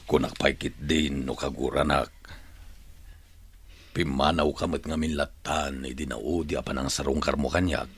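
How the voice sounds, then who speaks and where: Very deep, creaky, earnest prisoner. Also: male voice, fairly even intonation, moderate pace, exaggerated voice. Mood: grateful. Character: grateful, gentle jailed man being courted. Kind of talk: Ilocano radio drama acting.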